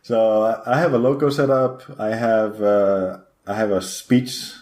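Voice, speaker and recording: A man's voice: fairly low pitch, unhurried, echoey room, background noise. A man from Iceland, a guest programmer chatting in a podcast interview, rambling with lots of fillers. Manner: rambling, hesitant with many fillers.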